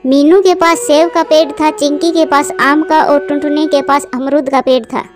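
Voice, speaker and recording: A woman's voice: sits high, steady tone, moderate pace, over music. A woman reading scripted narration for a children's cartoon story.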